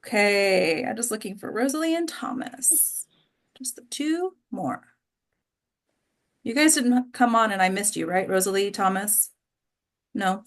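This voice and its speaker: A woman's voice: medium pitch, a little slow, long pauses. An American woman from Texas, chatty and effusive, hosting an online group and welcoming people in casual talk. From Texas, United States. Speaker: host of an online actors' table read. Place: online video call. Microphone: video call.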